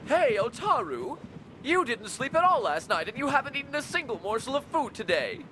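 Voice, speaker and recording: A man's voice: very high voice, big pitch swings, brisk, bright, forceful tone, full character voice, low-quality recording. An actor playing a fussy, doting, flamboyant young man in an English anime dub. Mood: fussing. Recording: clear vehicle noise behind.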